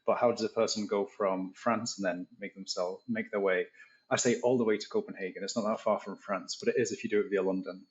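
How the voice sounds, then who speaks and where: A man's voice: lowish voice, steady tone, brisk, highs cut off. A man hosting an interview, upbeat and chatty as he asks the questions. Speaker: podcast host. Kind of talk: casual interview chat.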